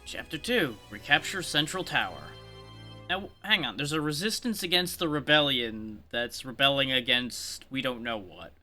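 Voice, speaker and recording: A man's voice: medium pitch, expressive, moderate pace, bright, forceful tone. A man livestreaming a game with casual, dry, sardonic commentary. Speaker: game streamer. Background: game music.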